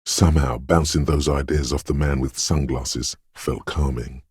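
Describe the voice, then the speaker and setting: A man voicing a brooding, dreamlike inner voice of intuition in a video game, speaking in a slow, hushed, portentous and theatrical way. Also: fairly even intonation, dry recording, studio quality, exaggerated voice. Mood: ominous, mysterious. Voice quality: very deep, husky, gravelly whisper-edged rumble. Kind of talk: dramatic video game voice acting. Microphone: close, dry studio microphone.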